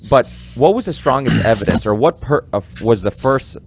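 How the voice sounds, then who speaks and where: A man in his twenties hosting a podcast, talking in fast, rambling bursts and stumbling over words. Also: mid-range voice, animated, breathy edge, soft background music. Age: 20s. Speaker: podcast host. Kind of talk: podcast interview.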